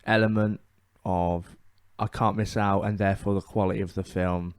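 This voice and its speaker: A man co-hosting a podcast in casual discussion, agreeable and measured, often hesitating as he puts thoughts together. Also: deep voice, level tone, slight background noise. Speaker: podcast co-host.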